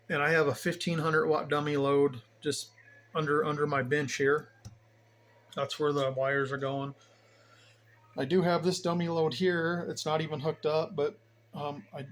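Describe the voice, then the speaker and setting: A man's voice: mid-range voice, a bit bright. A man giving a casual, unscripted tour of his hobby gear, rambling and thinking aloud in a folksy way. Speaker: hobbyist radio operator giving a station tour. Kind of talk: casual unscripted equipment walkthrough. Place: indoors at home radio bench. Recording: noticeable background noise, home video.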